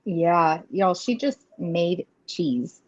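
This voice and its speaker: A woman, talking in a slow, unhurried way and laughing often. She chats casually as co-host of a live tarot stream, and her voice comes through dull, lo-fi stream audio. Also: fairly low pitch, expressive. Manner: chatty, giggly, Southern "y'all". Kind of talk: casual livestream chat.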